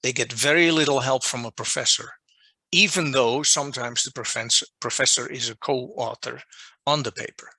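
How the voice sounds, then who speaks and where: A man with a Dutch accent, a non-native English speaker, giving an online lecture as a journal editor and restating his points deliberately over a video call. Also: medium pitch, bright, forceful tone, dry recording. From Netherlands. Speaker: journal editor presenting a workshop. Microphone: video call microphone.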